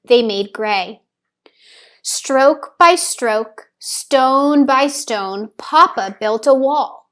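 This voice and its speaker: A woman narrates a picture book for young children. Her voice sits a little high, and she reads very slowly, with careful, deliberate phrasing. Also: a bit bright. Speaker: storybook reader. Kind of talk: children's story read-aloud, scripted narration.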